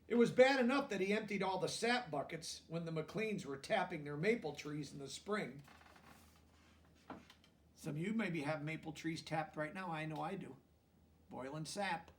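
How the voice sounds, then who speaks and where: High-pitched man reading aloud, distant from mic. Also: slight background noise. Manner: pauses to explain words and check understanding in plain language. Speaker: storybook reader teaching children. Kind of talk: children's read-aloud with explanatory asides.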